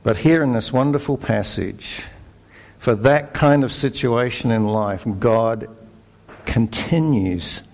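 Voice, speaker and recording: A middle-aged Australian man giving a conversational sermon, relaxed and self-deprecating, with fillers and restarts. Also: medium pitch, slow pace. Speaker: preacher.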